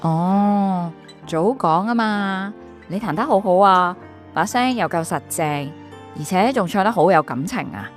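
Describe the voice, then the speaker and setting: An actress with a Hong Kong accent playing a friendly, polite young woman in calm, casual radio drama dialogue sprinkled with English words. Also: medium pitch, a little slow, faint music behind, natural voice. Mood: relieved, encouraging.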